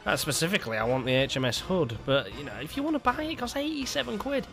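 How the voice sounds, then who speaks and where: A man's voice: fairly high pitch, very animated, fast talker, vocal fry, over music. A man hosting casual gameplay commentary, rambling with stumbling restarts and a lingering cough. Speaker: gaming video host.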